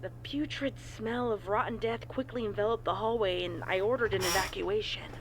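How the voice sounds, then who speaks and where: Woman narrating over clear background noise. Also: mid-range voice, normal speed. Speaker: audio story narrator. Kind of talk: scripted narration of a horror fan fiction report.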